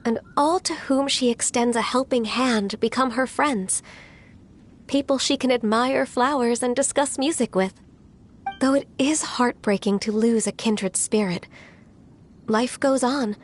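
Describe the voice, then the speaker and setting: Gentle, higher-pitched young heroine. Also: female voice, unhurried. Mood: earnest, consoling. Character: gentle, earnest young woman, polite and reflective. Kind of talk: video game voice acting.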